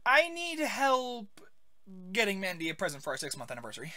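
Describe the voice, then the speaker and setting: A woman's voice: medium pitch, huge pitch swings, a little fast, loud music behind the voice. A woman voicing an anxious, flustered young male monster boyfriend in a cartoon, stammering and fretful. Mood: embarrassed, pleading. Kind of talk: animated web series voice acting.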